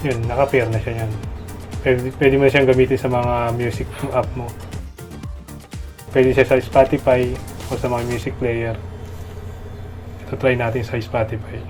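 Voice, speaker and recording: Music plays clearly behind a Filipino man's voice. He speaks at an average male pitch in a casual, instructional manner. He takes the listener through a product demonstration one step at a time.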